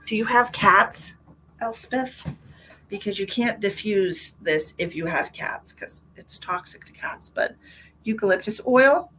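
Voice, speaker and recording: A woman hosts a casual livestream from her car, her voice bright and forward. She rambles in a chatty way and answers viewers' comments as they come in, sounding a little stuffy. A faint hiss of noise sits under her voice. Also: mid-range voice, a little slow, rough recording. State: stuffy nose. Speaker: tarot reader hosting a livestream.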